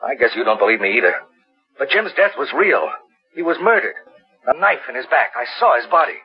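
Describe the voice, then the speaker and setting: A man's voice: mid-range voice, sing-song, brisk. An actor playing an earnest, frightened man pleading insistently for help in a 1940s radio drama. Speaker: actor in a detective radio serial. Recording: muffled 1940s radio recording.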